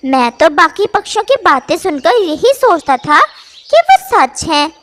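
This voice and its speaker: A performer voicing a sly male owl in a children's cartoon, oily and flattering as he schemes. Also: very high voice, unhurried, exaggerated voice. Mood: sly flattery.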